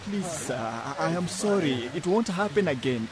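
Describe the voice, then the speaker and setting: A man's voice: a little high, lively intonation, normal speed, noisy background, close to an everyday voice. An actor playing a late worker who apologizes and pleads to keep his job. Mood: pleading. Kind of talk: radio drama acting.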